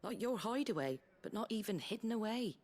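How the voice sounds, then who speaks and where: A woman playing a brisk, plain-spoken British innkeeper in a video game, earthy, colloquial and wry, tough but kindly. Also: mid-range voice, lively intonation, a little mellow, close to an everyday voice. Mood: wry, reminiscing. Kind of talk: video game character dialogue. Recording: game music behind the voice.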